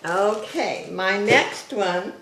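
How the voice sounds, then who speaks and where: Lady with a loud voice selling rings, slow, echoey room, faint hiss. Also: medium pitch. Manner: loud, slow and deliberate, item by item. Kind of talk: casual live-stream selling.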